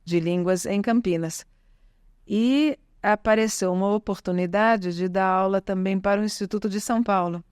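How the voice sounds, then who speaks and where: A woman's voice: medium pitch, moderate pace, a little mellow, smooth, dry recording, clean studio sound. An Italian-born woman whose first language is Italian, talking in a chatty way as an interview guest, with frequent tag questions and restarts. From Italy. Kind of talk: casual conversational interview.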